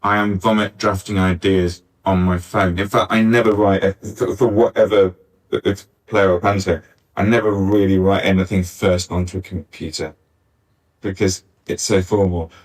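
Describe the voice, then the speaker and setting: A man's voice: low voice, fairly even intonation, moderate pace. A man talking informally as a panellist at a live discussion, on a distant backup recording. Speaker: panellist, pantomime scriptwriter. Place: arts centre venue, picked up from afar.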